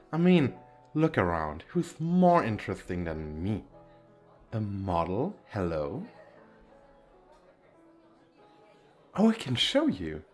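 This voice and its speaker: A man's voice: medium pitch, darkish tone. Man playing a theatrical, swooping vain male model. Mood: smug, indignant. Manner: theatrical, teasing, self-absorbed. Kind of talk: ASMR roleplay.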